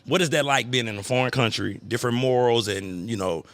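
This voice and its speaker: A man's voice: mid-range voice, brisk, fairly bright tone, dry recording. A man hosting a podcast in a cigar lounge, chatting casually in slang and hyping up his guest. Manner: laid-back, slangy, hypes up his guest. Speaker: podcast host. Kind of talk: casual chat.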